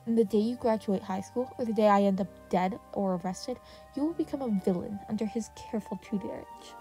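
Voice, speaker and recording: A woman's voice: mid-range voice, fairly quick, dark tone, soft background music, natural voice. A woman voicing a cold, abusive male henchman in an audio story, threatening and domineering. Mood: menacing. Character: menacing abusive man, cold villainous henchman threatening a boy.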